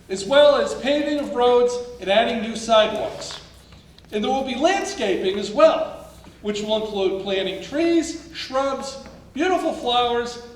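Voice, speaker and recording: Very high-voiced actor as a corporate leader. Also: male voice. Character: upbeat male corporate leader making a formal presentation. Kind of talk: staged musical reading, formal speech. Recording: echoey hall, distant mic, faint noise.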